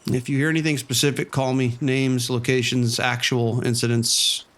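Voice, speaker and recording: A man playing a skeptical, measured cop. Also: mid-range voice, flat delivery, fairly bright tone, natural voice. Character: patient, probing male cop questioning an informant. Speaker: police officer.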